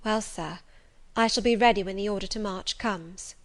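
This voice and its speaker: A woman's voice: medium pitch, normal speed, dry recording, lightly acted. Woman reading a subdued young governess. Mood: anxious, restrained. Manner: subdued, polite, hesitant. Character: modest, dutiful young governess addressing her master. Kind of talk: audiobook character dialogue.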